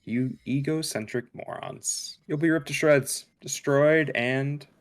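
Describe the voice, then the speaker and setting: A man reading the part of a cold, calculating, damaged android in a script table read, measured and precise. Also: fairly high pitch, steady tone. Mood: contemptuous.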